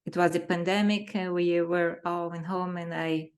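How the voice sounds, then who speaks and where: A woman's voice: on the low side, fairly even intonation, moderate pace. A woman with a Brazilian Portuguese accent, a native Portuguese speaker answering interview questions in careful English, searching for words as she goes. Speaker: interview guest.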